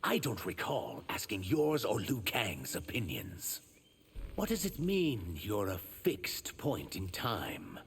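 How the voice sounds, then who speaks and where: A man's voice: fairly low pitch, huge pitch swings, takes it slowly, vocal fry, slight background noise, full character voice. A man voicing an earnest young shapeshifting reptilian warrior in human form for a video game. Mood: challenging. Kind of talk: video game character dialogue.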